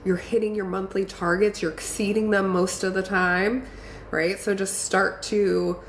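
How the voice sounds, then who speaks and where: A woman's voice: mid-range voice, little pitch movement, measured pace. Congested woman coaching on a live stream. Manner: encouraging, affirming, chatty with fillers. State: congested from a cold. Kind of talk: casual live-stream talk reading viewer comments. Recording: echoey room with faint ambient noise.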